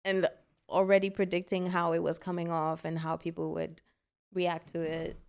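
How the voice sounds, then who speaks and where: A woman from Ghana, a musician guest in a casual interview, thoughtful and measured. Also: on the low side, monotone, a little mellow, clean tone.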